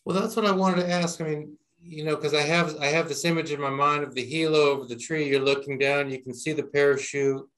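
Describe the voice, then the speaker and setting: A man's voice: a little high, fairly even intonation, fairly quick, hardly any pauses, a bit bright, low-quality recording. A man interviewing someone conversationally, recapping and checking the story step by step.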